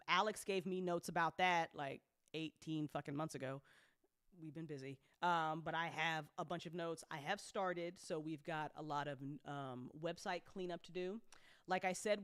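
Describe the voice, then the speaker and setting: A woman's voice: on the low side, a bit bright, smooth, dry recording, studio quality. A woman hosting a casual update talk as an audio drama producer, speaking bluntly and informally with playful slang and free swearing.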